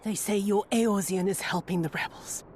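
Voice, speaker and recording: A woman's voice: medium pitch, normal speed, darkish tone, a little hiss or hum, natural voice. An actress playing a vengeful, imperious noblewoman in a video game cutscene, commanding and venomous. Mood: venomous fury.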